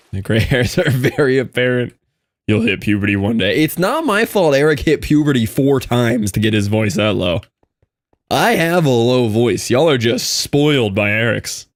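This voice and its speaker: A man's voice: mid-range voice, animated, normal speed, no room sound, studio quality. A young man casually chatting with his live-stream audience while playing, reading out and answering chat messages.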